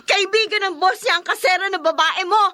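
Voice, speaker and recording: A high, closely recorded woman's voice with a Filipino accent playing a long-suffering wife in radio drama. She pleads with raw feeling, wounded yet forgiving. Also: brisk, sharp tone, dry recording, studio quality. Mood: hurt, accusing. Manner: emotional, tearful, pleading.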